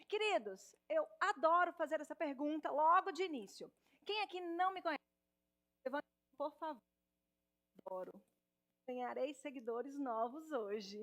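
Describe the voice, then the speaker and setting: Woman, Minas Gerais accent, speech therapist, high pitch, animated, addresses audience, auditorium stage. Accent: Minas Gerais Portuguese. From Minas Gerais, Brazil. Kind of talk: motivational stage talk.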